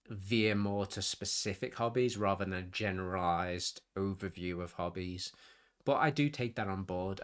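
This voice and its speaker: A man's voice: fairly low pitch, a bit bright. Nasal, sniffly man rambling on stream. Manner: rambling, self-interrupting, chatty. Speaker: YouTube hobby channel host. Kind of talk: casual rambling live stream talk.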